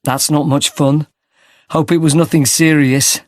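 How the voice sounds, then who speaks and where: A man's voice: fairly high pitch, level tone, measured pace, no echo, studio quality, close to an everyday voice. A man playing a polite, sympathetic street interviewer asking transport survey questions in a scripted listening-test dialogue. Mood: friendly.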